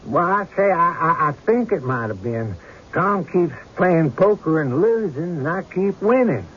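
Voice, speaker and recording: A man's voice: fairly high pitch, very animated, a little slow, a bit bright, husky, exaggerated voice. An actor playing a shifty, hesitant country gambler in an old-time radio drama, stumbling over his words. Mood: evasive. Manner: hesitant, stuttering. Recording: dull, lightly noisy radio recording.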